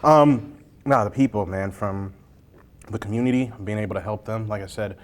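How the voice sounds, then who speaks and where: A man's voice: lowish voice, moderate pace. A man hosting a casual, jokey interview with informal, colloquial phrasing. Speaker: interview host, library information desk worker. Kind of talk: casual chat during a hot sauce challenge interview.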